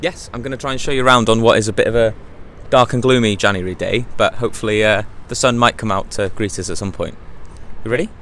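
A man's voice: on the low side, fairly even intonation, quick pace, a bit bright, slight background noise. Chatty young man giving a tour. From North Wales. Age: young adult. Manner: upbeat, chatty, many ums and likes. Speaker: college outreach officer. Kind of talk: casual walking campus tour. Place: college campus, outdoors and indoor halls. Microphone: lapel mic.

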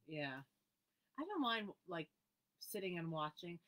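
A woman's voice: on the low side, sing-song, a little slow, a bit bright. A woman hosting a live needlework sale, reading out item numbers and prices and chatting with viewers by name. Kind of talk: live sales presentation, casual chat.

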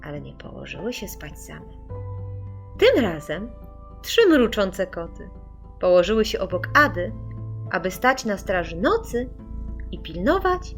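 A woman narrating a children's audiobook in an expressive, scripted storytelling style. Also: mid-range voice, unhurried, creaky, long pauses. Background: music.

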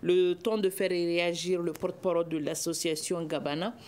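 A middle-aged woman hosting a studio debate, asking questions in a clear, formal way. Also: lowish voice, level tone, normal speed, darkish tone. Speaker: host. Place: television studio. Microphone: desk microphone.

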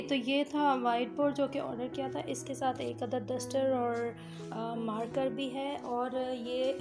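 A woman vlogger giving a casual product review at home, chatty and full of hesitations. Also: high voice. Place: home, indoors.

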